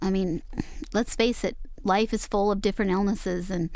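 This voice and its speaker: A woman's voice: mid-range voice, fairly even intonation, moderate pace, darkish tone, dry recording. A woman co-hosting a talk show, speaking in an earnest, easygoing way and laughing easily. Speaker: co-host. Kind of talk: casual chat on a talk show.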